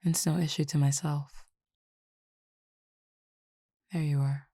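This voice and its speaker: A woman voicing a calm cupid roommate who feeds on desire, in an audio roleplay spoken to the listener, formal, measured and quietly teasing. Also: sits low, husky, no room sound, studio quality, full character voice. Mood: languid teasing.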